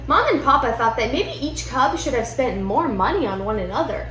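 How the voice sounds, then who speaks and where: A young woman reads a children's picture book aloud with expressive storytelling in a living room. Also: high voice, moderate pace. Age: young adult. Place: indoor living room at home. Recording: roomy echo, music behind.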